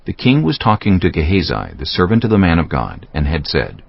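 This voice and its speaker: A man's voice: deep voice, huge pitch swings, fairly quick, vocal fry, slightly rough, dull audio. A man giving scripted narration of scripture as an audiobook narrator.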